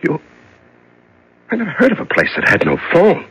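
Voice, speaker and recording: A man's voice: on the high side, wildly swooping pitch, moderate pace, a bit bright, slightly rough, full character voice, rough recording. An actor in a 1970s radio drama playing a frightened, bewildered male prisoner who stammers, pleads and protests. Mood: flustered pleading.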